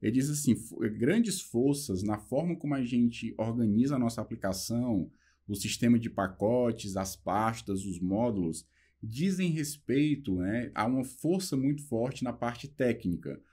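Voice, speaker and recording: A young man with a Brazilian Portuguese accent explaining software design in a relaxed lesson, using fillers and pausing to translate a book. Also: mid-range voice, husky. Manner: talks loosely with fillers, slows down reading English passages. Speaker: programming instructor.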